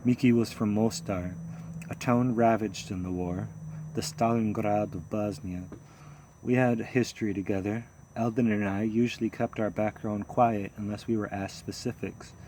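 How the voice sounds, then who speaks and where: A man narrating a first-person memoir audiobook in a measured, steady storytelling manner. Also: fairly low pitch, noisy background.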